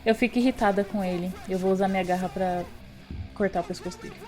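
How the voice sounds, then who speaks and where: A woman with a mellow tone speaks as a player in a tabletop roleplaying game. She narrates her character in the first person, with faint music underneath. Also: medium pitch, steady tone, fast talker.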